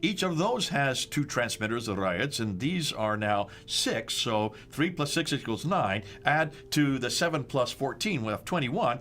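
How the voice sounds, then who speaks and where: A man's voice: mid-range voice, animated, a little slow, few pauses, fairly bright tone, a little hiss or hum. A man with an American accent hosting a discussion, walking through slides with emphatic rhetorical questions and stressed dates. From United States. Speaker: host.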